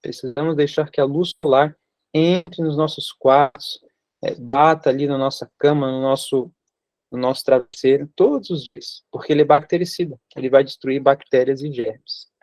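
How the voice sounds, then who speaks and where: A man's voice: mid-range voice, steady tone, moderate pace, a little mellow, dry recording. A young Brazilian man giving an informal health lesson, often repeating himself and adding fillers to stress his points. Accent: Brazilian Portuguese. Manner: repeats and rephrases for emphasis, frequent fillers. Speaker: health teacher. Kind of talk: informal teaching talk.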